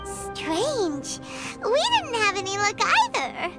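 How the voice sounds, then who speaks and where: Childlike female fairy sidekick, very slow drawn-out delivery, sky-high squeaky voice, caring, fretful, video game. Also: wildly swooping pitch, full character voice. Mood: puzzled, anxious. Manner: refers to self by name, exclaiming. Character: tiny chirpy childlike female fairy companion, anxious and caring. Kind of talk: video game cutscene acting. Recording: loud music under the voice.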